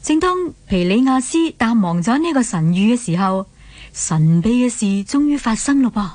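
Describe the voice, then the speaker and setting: A woman with a Hong Kong Cantonese accent narrating a scripted radio drama as an expressive storyteller. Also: on the high side, moderate pace, a little hiss or hum.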